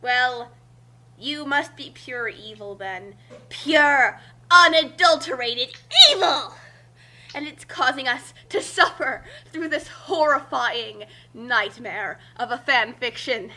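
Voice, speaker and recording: A woman's voice: high-pitched, slow, bright, forceful tone, slight background noise. A woman co-hosting a casual group reading, bantering and commenting in a theatrical, mock-dramatic way. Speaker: co-host of a group fan-fiction reading. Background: background music.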